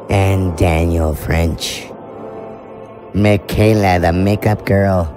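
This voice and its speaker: A man's voice: on the low side, darkish tone. A man announcing cast and credits in a scripted outro for an audio drama. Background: music.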